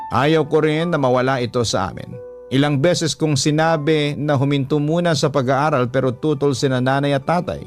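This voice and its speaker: A man narrating a listener's letter in a heartfelt, measured way. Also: on the high side, steady tone, faint music behind. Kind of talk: reading a listener's letter aloud.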